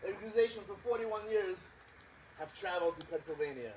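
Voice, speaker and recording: A man's voice: high-pitched, fast talker, darkish tone. A man hosting a small outdoor concert, talking in a rambling, self-deprecating way with many fillers. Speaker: concert co-director and host. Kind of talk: casual spoken introduction at a live concert. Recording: distant outdoor recording with background noise.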